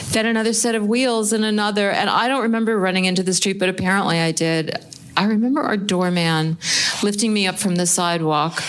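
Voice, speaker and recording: A woman playing a guilt-ridden, self-blaming married animal lover in a live radio play, speaking earnestly and haltingly. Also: fairly low pitch, lightly acted. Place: live on a theatre stage.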